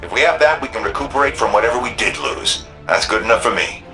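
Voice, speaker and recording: An actor playing a weary, grateful city defender in a video game, speaking in a tired, plainspoken way. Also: male voice, mid-range voice, expressive, fast, music in the background, natural voice, rough recording. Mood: relieved. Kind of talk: scripted game dialogue.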